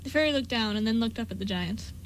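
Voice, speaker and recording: A woman with a fairly high voice reads story narration from a script for a radio play. A faint hum sits behind her. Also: expressive, fast.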